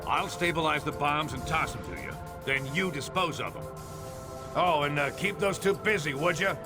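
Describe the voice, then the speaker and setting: A man voicing a gruff, middle-aged spymaster in a video game cutscene, commanding and wry. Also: a little high, expressive, moderate pace, natural voice. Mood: urgent, commanding. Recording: voice over loud background music. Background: loud music.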